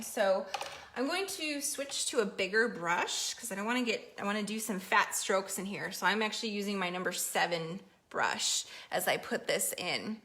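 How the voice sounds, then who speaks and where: A woman teaching a live paint-along lesson from her studio easel, chatty and encouraging, a little hoarse as she is losing her voice. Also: mid-range voice, bright, forceful tone. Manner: chatty, encouraging, reads viewer comments aloud. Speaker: painting instructor. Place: home art studio at an easel.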